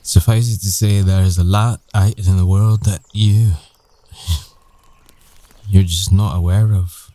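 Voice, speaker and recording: Low, slow, hushed werewolf boyfriend acted by a man. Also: steady tone, no room sound. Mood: wry, explaining. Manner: hushed, breathy, halting, intimate delivery. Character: tender, weary male werewolf ex-boyfriend, secretive and sorrowful. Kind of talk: ASMR boyfriend roleplay.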